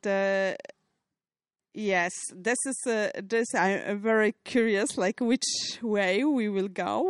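A woman's voice: mid-range voice, no echo, studio quality. A woman opening a public session and thanking its funders, hesitant and searching for words in English. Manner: hesitant, non-native English phrasing. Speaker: event organizer opening the session. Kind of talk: opening remarks.

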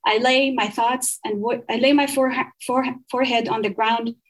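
A woman speaks in a somewhat high voice. She is earnest and measured, reading poetry and then explaining her research, stumbling and restarting now and then. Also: steady tone. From Saudi Arabia. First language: Arabic.

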